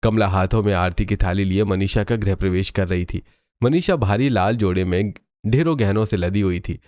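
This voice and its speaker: A man's voice: sits low, moderate pace, no echo, lo-fi sound. A man narrating a scripted cartoon family story as the storyteller.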